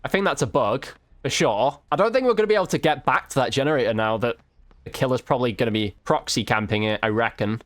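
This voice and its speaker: A man's voice: mid-range voice, fast, no room sound. A man giving casual, reactive running commentary while playing a video game. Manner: reactive, exclamatory, slangy. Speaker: video game streamer, YouTube host. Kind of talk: live gameplay commentary, casual chat.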